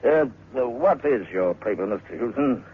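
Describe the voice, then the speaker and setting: An actor playing a cautious, businesslike museum manager in an old radio drama, measured and formal with hesitant asides. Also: male voice, medium pitch, lively intonation. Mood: shrewd, businesslike. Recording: tinny, noisy old radio recording.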